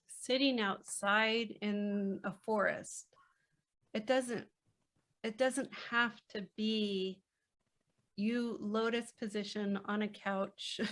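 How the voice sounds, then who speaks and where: A woman speaking as a podcast guest in a reflective conversation, gentle, unhurried and reassuring. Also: on the high side. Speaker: podcast guest, children's book author.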